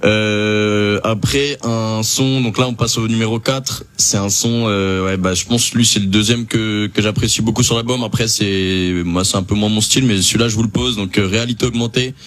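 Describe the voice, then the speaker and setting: A man's voice: fairly low pitch, fairly even intonation, moderate pace, hardly any pauses, a bit bright. A young man hosting a casual radio show, talking with many fillers and restarts. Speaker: radio host presenting an album.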